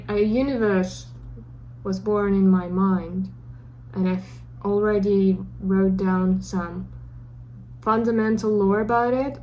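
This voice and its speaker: A young woman with a Russian accent speaks in a soft, mellow voice, sincere and slightly self-conscious as she talks to her camera. A faint hiss sits under her voice. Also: medium pitch, poor audio. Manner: self-conscious, earnest, a little awkward. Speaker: vlogger. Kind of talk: casual talk to camera. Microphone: camera mic.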